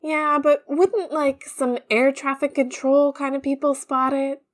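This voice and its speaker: A woman's voice: sits high, steady tone, measured pace. A woman playing a chatty, slangy, conspiratorial narrator in an audio comedy, talking casually and gossipily. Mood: urgent, conspiratorial. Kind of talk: conversational audio drama narration.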